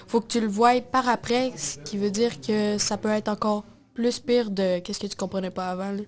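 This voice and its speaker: A woman's voice: mid-range voice, steady tone, moderate pace. A teenage female student with a Québécois French accent, talking casually and with plenty of slang. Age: teenage secondary student. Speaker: student guest. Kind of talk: casual chat.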